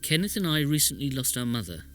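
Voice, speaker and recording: A man's voice: mid-range voice, sing-song, quick pace, fairly bright tone, faint music behind. A man playing a resentful poor relation of a village lord in a podcast drama, speaking in an aggrieved, emphatic way. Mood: bitter. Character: bitter, resentful brother of a wealthy village lord. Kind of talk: podcast audio drama acting.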